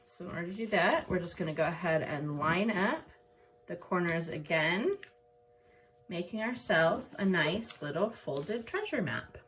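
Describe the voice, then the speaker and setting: A woman's voice: lowish voice, lively intonation, measured pace, fairly bright tone, low-quality recording. A woman art teacher talking children through a craft step by step in an informal way. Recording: noticeable background noise.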